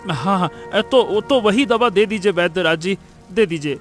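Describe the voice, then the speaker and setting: A man's voice: high-pitched, normal speed, fairly bright tone, soft background music. A man voicing a poor, protective village father in a Hindi cartoon story, earnest and often repeating himself. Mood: pleading.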